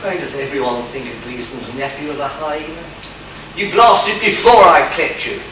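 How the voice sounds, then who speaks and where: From a distant mic with faint hiss, a man plays a cool, sardonic tough hero in a stage radio play. The voice is sharp and slightly high, with a deliberate, challenging delivery. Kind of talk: amateur radio play acting. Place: live convention stage in echoey room. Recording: very echoey hall, far-off mic, faint hiss.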